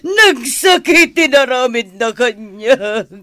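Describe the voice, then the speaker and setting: An actress playing a manipulative, wealthy woman who plays the victim, with a Filipino accent and drawn-out, wheedling delivery in a radio drama. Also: high voice, expressive, fairly bright tone, heavily acted. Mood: tearful complaining. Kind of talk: melodramatic radio drama acting.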